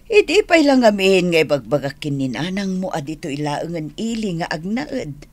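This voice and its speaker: A woman's voice: lowish voice, very animated, moderate pace, no room sound. A woman playing a hospitable, fussing middle-aged auntie in a radio drama, with an Ilocano accent.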